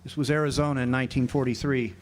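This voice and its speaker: A man's voice: mid-range voice, steady tone, fast, natural voice. A man plays a wry drifter in a period radio play. His voice is mid-range, and he talks like a folksy storyteller looking back on a crooked little town. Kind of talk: radio drama narration and dialogue. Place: live amateur radio drama performance at an amphitheater.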